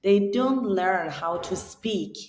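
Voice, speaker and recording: A woman's voice: mid-range voice, animated, slow, darkish tone, roomy sound. A woman in her thirties from Iran, with an Iranian accent, speaking English as a second language as an interview guest. She talks earnestly and often restarts her sentences while she searches for words. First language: Persian. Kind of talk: casual interview conversation.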